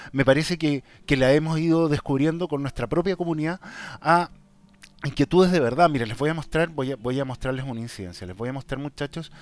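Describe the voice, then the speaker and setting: A Chilean man with a slightly high-pitched voice hosts his own online channel. He speaks informally and directly to his viewers, stressing his points and often breaking off to rephrase. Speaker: YouTube channel host. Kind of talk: casual vlog talk, answering viewer questions.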